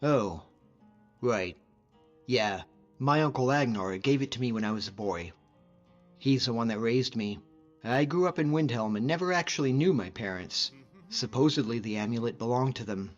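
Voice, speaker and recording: A man playing a friendly, modest lone-wolf hunter as a video game companion, speaking in an earnest, measured way. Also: mid-range voice, lively intonation, lo-fi sound, faint music behind, lightly acted. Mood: reflective, candid.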